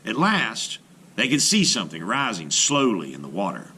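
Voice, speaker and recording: Animated, deep-voiced male storyteller. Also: normal speed, husky. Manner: dramatic, carefully phrased storytelling. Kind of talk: expressive children's read-aloud narration.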